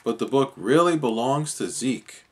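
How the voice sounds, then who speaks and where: A man's voice: medium pitch, animated, measured pace, lightly acted. A man voicing a caring, persistent woman neighbor in a children's storybook. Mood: matter-of-fact.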